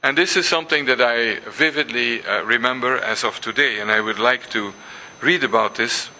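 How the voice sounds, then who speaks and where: An older man whose first language is Dutch gives a symposium lecture, pausing often with ums and restarts and reading passages aloud. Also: medium pitch, pushed and bright, a little hiss or hum, poor audio. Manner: hesitant, many ums and restarts. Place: lecture hall.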